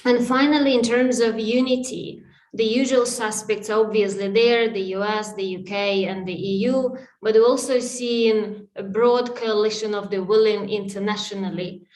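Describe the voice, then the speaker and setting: A woman's voice: fairly high pitch, steady tone, moderate pace, darkish tone, roomy sound, low-quality recording. A woman speaking as a sanctions expert in an interview, giving a fluent, emphatic analysis point by point over a video call.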